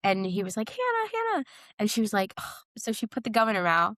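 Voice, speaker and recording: A young woman co-hosting a casual pop-culture podcast, chatting in an animated, playful way with dramatic retellings. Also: medium pitch, fast, dry recording. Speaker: podcast co-host.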